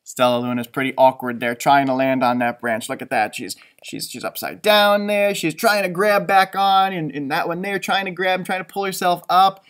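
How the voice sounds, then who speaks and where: A man in his thirties reading a picture book aloud to children like a teacher, expressive and dramatic, adding chatty asides. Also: fairly high pitch, normal speed, hardly any pauses. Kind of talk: animated storybook read-aloud with asides. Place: indoor library room with bookshelves.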